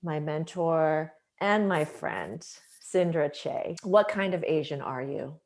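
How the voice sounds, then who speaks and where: Woman interview host, low-pitched, slow and admiring delivery. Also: animated. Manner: warm, admiring, unhurried introductions. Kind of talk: conversational interview.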